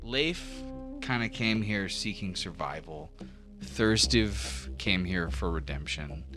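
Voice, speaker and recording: A man's voice: low voice, expressive, slow, over music. A man working as game master, narrating a tabletop fantasy game descriptively with casual asides.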